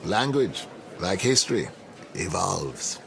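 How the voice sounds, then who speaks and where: Reflective older mentor, low-pitched, varied intonation, eloquent, wry, radio drama. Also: male voice, big pitch swings, takes it slowly, creaky, fairly bright tone, slight background noise, exaggerated voice. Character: reflective older male academic mentor nearing retirement. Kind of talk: naturalistic radio drama dialogue.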